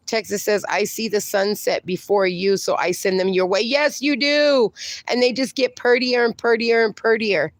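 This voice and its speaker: Reassuring woman host of a casual livestream, mid-pitched, dry close mic, lots of chatty fillers. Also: normal speed, dry recording. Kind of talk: casual livestream chat reading comments.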